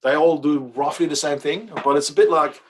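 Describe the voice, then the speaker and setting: A man's voice: fairly high pitch, brisk. A man whose first language is Dutch presents an online coding workshop as the presenter, talking casually with many fillers and restarts over a computer mic on a video call.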